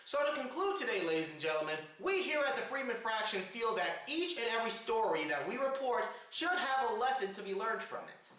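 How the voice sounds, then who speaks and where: A man's voice: high-pitched, sing-song, a little fast, hardly any pauses, pushed and bright. A man hosting a satirical news report, addressing his audience formally in a theatrical, mock-dramatic manner. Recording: very echoey, reverberant room.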